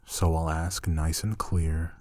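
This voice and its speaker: A man acting an affectionate, teasing roommate in an intimate ASMR roleplay, soft-spoken and playful. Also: extremely low pitch, little pitch movement, slow, no room sound, clean studio sound. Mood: tender, teasing. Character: affectionate, teasing male roommate gently pampering a shy catgirl.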